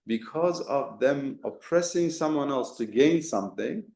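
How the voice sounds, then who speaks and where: Male Quran teacher, mid-pitched, earnest explainer, lots of rhetorical questions, slight fry, roomy echo. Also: animated, unhurried, a little mellow. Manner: mixes Arabic terms into talk. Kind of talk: explanatory religious lesson. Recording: echoey room.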